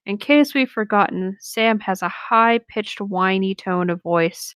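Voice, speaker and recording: A woman with an average-pitched voice reads a story aloud as a co-host. Her delivery is informal and sardonic, slipping into banter. The recording is close and dry. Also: measured pace, fairly bright tone, smooth, no room sound. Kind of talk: casual story narration and banter.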